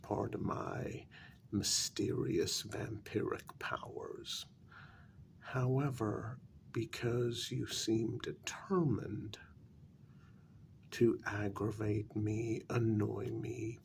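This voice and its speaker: A man playing an urbane, weary, menacing old vampire in a soft, half-whispered ASMR role-play, speaking with deliberate, formal politeness. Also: on the low side, steady tone, heavily acted. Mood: measured, persuasive, then exasperated. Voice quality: breathy, half-whispered, gravelly.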